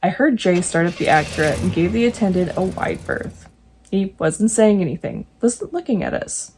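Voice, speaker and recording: A woman reading true ghost stories aloud, breaking in now and then with jokey, animated asides. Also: lowish voice, moderate pace, slight background noise. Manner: animated, jokey asides between read passages.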